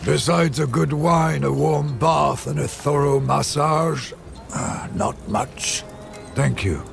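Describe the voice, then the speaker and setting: Gravelly, wry mentor servant. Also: male voice, fairly high pitch, soft background music, full character voice. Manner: measured, courteous. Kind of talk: scripted game dialogue.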